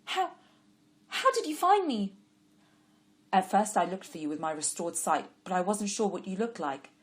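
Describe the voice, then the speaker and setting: A woman voicing an impetuous, lovestruck young man who talks loudly and urgently. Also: medium pitch, very animated, normal speed, close to an everyday voice. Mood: eager. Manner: loud, urgent calling.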